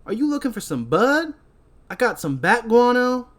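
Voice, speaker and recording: Man doing a high-pitched, eager stoner hustler. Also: very animated, normal speed. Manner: slangy, eager sales patter. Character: eager dreadlocked male resort stoner, pushy hustler. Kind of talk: film script read-through on a podcast.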